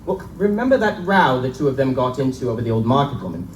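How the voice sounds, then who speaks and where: A man's voice: mid-range voice, very animated, fast talker, natural voice. A man playing a breezy, gossipy young student who talks in chummy old-fashioned slang, at a live dramatic reading in an echoing room. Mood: jaunty, gossipy. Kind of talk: live dramatic reading of gothic pulp tale. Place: live stage reading in a bookstore. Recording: echoey live recording, distant mic, faint noise.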